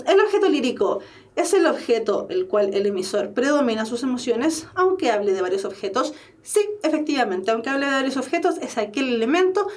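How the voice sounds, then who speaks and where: A young woman teaching a literature class, explaining step by step and often checking in with her students. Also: on the high side. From Chile. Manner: explanatory, asks rhetorical "¿cierto?" often. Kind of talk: online exam-prep class.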